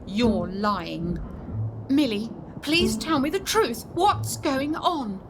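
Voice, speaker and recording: A woman's voice: sits high, lively intonation, music in the background, full character voice. A woman playing an anxious, bewildered governess in a spooky audio play. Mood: anxious, questioning. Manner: anxious, pleading, halting. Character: frightened young governess woman, earnest and bewildered.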